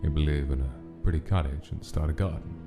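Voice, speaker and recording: A man's voice: very deep voice, a little fast. A man reading scripted story narration as the narrator of a drama. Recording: music clearly under the narration.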